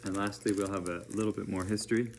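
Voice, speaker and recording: A man reading educational narration aloud as a teacher, in a measured, plain-spoken manner. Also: lowish voice, steady tone, slight background noise.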